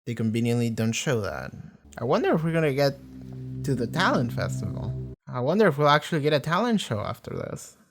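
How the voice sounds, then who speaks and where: Young man reacting, lively swooping pitch. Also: brisk, music in the background. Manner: blunt, sweary, slangy. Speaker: reaction video host. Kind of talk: casual running commentary on a TV episode.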